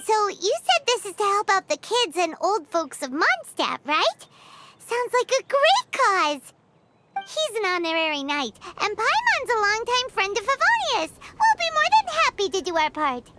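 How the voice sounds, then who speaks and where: A woman voicing a small, chirpy, eager fairy-like girl companion with a squeaky childlike voice in a video game. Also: huge pitch swings, measured pace, background noise, exaggerated voice. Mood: cheerful, eager. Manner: peppy, refers to self in third person. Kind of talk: video game cutscene acting. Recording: music behind the voice.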